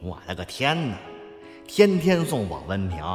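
A man's voice: fairly low pitch, very animated, a little slow, soft background music. A man acting a cheeky, grumbling sidekick in an audio drama, slangy and exasperated as he teases his boss.

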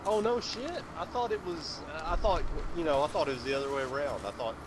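A man's voice: a little high, brisk. A drunk man chatting casually with friends in game voice chat. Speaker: gamer in voice chat. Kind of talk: casual chat while gaming. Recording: loud game noise over voice chat.

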